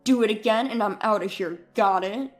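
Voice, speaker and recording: A woman's voice: mid-range voice, moderate pace, faint music behind, natural voice. A woman voicing a cold, scornful husband in dramatised story dialogue. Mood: annoyed, scornful. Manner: scornful, belittling. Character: cold, sneering husband, adult man, easily annoyed.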